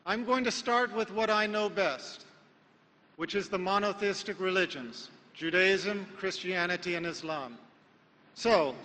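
An American-raised man giving an emphatic sermon lecture, with rhetorical questions and measured pauses. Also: high-pitched, pushed and bright, big-room sound, poor audio. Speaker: Islamic preacher.

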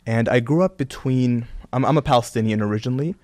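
A man's voice: mid-range voice, fast talker, a little mellow, dry recording. A man who grew up in Kuwait and Canada, speaking earnestly and reflectively as an interview guest, with many "you know" fillers. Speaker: guest, religious content creator. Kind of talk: casual interview talk.

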